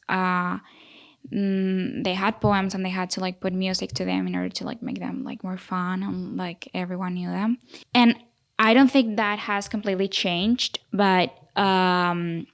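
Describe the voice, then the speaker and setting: A young woman with a Spanish accent hosts a student discussion podcast. Her voice is smooth and clear at a middle pitch. She talks haltingly and fills gaps with "like" and "um". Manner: hesitant, many fillers like "like" and "um".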